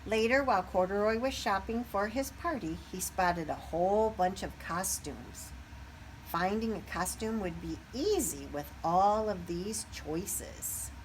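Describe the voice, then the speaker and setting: A woman reading a children's picture book aloud expressively, pausing to put questions to young listeners. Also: medium pitch, expressive, a little hiss or hum, rough recording.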